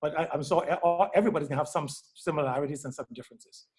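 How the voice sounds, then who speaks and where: Bahamian man, sixties, slightly high voice, conversational panelist who circles back over his phrases. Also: racing, a little mellow. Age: 60s. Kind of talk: casual panel talk.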